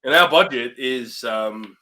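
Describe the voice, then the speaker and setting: A man's voice: medium pitch, a little slow, sharp tone, a little hiss or hum. A man bantering casually as co-host of a film review show, blunt and dismissive in his remarks.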